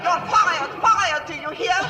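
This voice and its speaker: A woman's voice: very high voice, measured pace, sharp tone, a little hiss or hum, exaggerated voice. An actress playing a haughty, imperious English lady, commanding and indignant, in old-time radio comedy style. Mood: outraged, shrieking. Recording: distant old radio recording.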